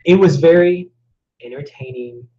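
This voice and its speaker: A man co-hosting a couple's live chat, rambling and joking, his voice often trailing off into quiet asides. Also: fairly high pitch, unhurried, dull audio. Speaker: co-host of a couple's channel. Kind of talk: casual live-stream chat.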